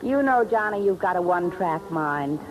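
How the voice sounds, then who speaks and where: An actress playing a flirtatious, playful femme fatale in a 1950s radio drama, coy and teasing. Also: female voice, on the low side, animated, normal speed, close to an everyday voice, low-quality recording. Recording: vintage radio with music behind.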